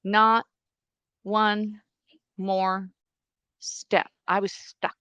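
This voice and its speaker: A woman's voice: medium pitch, very slow, clean tone, no room sound, muffled recording. A middle-aged woman whose first language is Czech, steadily telling her story as a podcast guest. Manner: earnest, steady storytelling with quoted voices. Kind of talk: interview storytelling.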